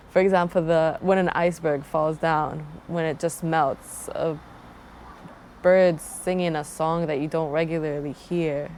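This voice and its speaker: A woman's voice: deep voice, a little mellow, a little hiss or hum. A young woman from Croatia introducing herself and her travel channel, talking in a thoughtful, rambling way with frequent restarts. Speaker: travel vlogger. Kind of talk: casual self-introduction to camera.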